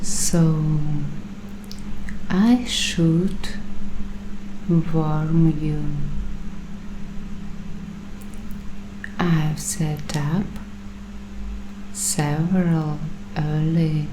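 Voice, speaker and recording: A woman acting as a paranoid doomsday prepper in an ASMR roleplay, speaking extremely slowly in a lowish, hushed voice. She is earnest and deliberate, leaving long pauses, with clear noise behind her. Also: steady tone. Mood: ominous warning. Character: paranoid waitress turned doomsday prepper interviewing bunker roommates. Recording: clearly audible background noise.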